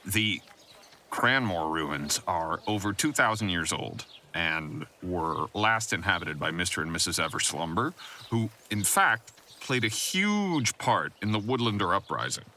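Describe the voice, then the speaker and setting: A man's voice: deep voice, very animated, a little slow, pushed and bright, a little hiss or hum, voice pushed down, heavily acted. A man voicing a prim, learned professor who lectures formally and precisely on a field trip in an audio drama. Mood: lecturing, approving.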